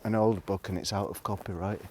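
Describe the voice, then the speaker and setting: A man talking casually to a vlog audience in a reflective, rambling monologue, musing haltingly and trailing off between thoughts. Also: lowish voice, steady tone, a little mellow. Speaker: vlogger. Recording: noticeable background noise, clicks.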